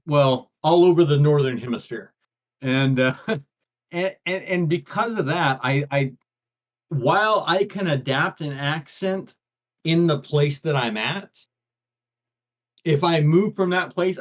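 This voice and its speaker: A man's voice: a little high, slow, pauses often. An older man with grandkids, co-hosting a casual podcast chat and rambling on, often stumbling and repeating words before he gets a thought out. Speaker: podcast co-host. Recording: dull lo-fi audio.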